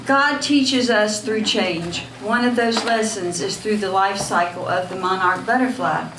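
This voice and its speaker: Woman event host, measured announcing tone, distant mic in an echoey noisy hall. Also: medium pitch, a crowd in the background. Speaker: event host introducing the speaker. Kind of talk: scripted introduction.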